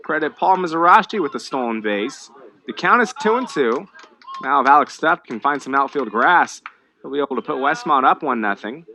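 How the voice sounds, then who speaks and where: An American man calling live baseball play-by-play, conversational between pitches and more animated on the action. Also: moderate pace, a little hiss or hum. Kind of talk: live sports commentary.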